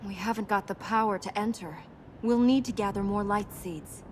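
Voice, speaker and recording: An actress playing a serious, resolute young princess in a video game, measured and matter-of-fact. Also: female voice, medium pitch, natural voice. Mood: solemn. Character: solemn, resolute young princess guiding an adventurer. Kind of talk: video game character acting. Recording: noisy game capture with ambience.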